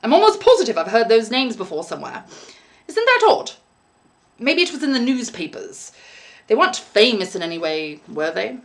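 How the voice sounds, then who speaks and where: A woman reading a storybook aloud as a polite, puzzled young lodger, a young man who talks in an earnest, halting, musing way. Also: a little high, very animated.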